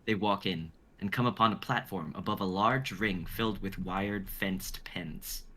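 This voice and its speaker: A man's voice: low-pitched, unhurried, a bit bright. A man in his twenties, talking casually and narrating stage directions for an informal script reading. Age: 20s.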